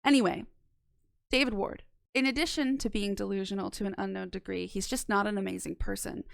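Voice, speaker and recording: Young female video essayist. Wry and blunt, fry in a smooth voice, dry close mic. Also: mid-range voice, steady tone, fairly quick, no echo. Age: young adult. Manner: wry, blunt, casually sweary. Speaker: video essayist reviewing a horror podcast. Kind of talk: scripted commentary with casual asides. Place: small indoor home studio. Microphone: close microphone on boom arm.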